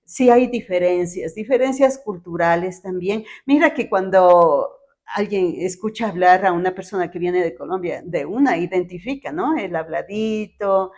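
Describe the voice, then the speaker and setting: Una mujer ecuatoriana con acento andino conduce el podcast, haciendo preguntas de forma amable y conversacional. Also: female voice, fairly low pitch, moderate pace, darkish tone. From Ecuador. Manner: friendly, asks questions, affirming. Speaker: podcast host. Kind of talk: casual interview chat.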